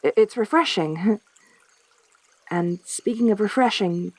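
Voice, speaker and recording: A woman playing a lonely, possessive centaur girl in a soft-spoken audio roleplay, with a halting, stammering delivery. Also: medium pitch, no room sound, lightly acted. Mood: shy, nervous.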